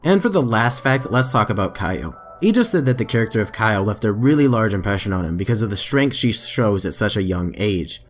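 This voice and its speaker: A man's voice: fairly low pitch, animated, brisk, few pauses, a little hiss or hum. A man reading anime trivia as a scripted voice-over, with emphatic lifts in his delivery. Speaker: anime trivia video narrator. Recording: faint background music under voice-over.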